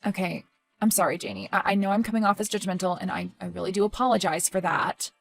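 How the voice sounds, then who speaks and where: A woman's voice: fairly low pitch, quick pace, creaky. A woman plays a concerned sister in a family drama. She speaks earnestly and hesitantly, apologizing while still passing judgment. Music sits behind the voice. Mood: apologetic, worried. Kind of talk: dramatic dialogue acting.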